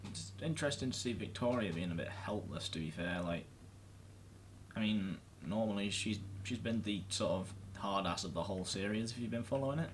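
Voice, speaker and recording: A man's voice: fairly low pitch, animated, fairly quick, a little hiss or hum. A man giving casual, musing commentary as he plays through a video game. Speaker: gaming video host.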